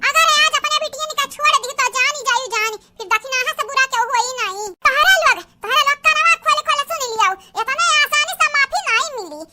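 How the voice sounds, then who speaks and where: A woman voicing squabbling, melodramatic village women in a homemade comedy cartoon, with an eastern Uttar Pradesh accent, scolding and exclaiming through a pitched-up effect. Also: fast, few pauses, bright, forceful tone, faint music behind, exaggerated voice. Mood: threatening.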